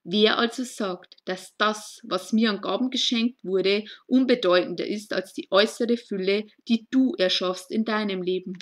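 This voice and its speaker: A woman recites poetry at a medium pitch. She speaks in short, deliberate phrases and leaves space between them. Her delivery is earnest and reflective throughout. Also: fairly even intonation. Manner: slow, deliberate phrasing with pauses. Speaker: poet reading own poem. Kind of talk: spoken poetry reading.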